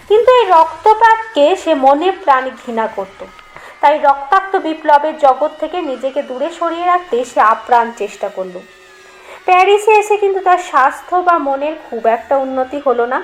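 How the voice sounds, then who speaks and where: High-pitched woman narrating a story. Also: slight background noise, low-quality recording. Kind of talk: scripted audiobook narration of a horror story.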